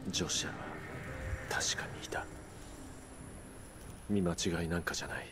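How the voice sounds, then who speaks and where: A man acts a brooding mercenary hero in a fantasy video game. His voice is very low, his speech terse and restrained, with music playing behind. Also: fairly even intonation, normal speed, in a whisper, lightly acted. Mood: somber resolve. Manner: low-key, serious, restrained. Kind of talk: Japanese video game voice acting.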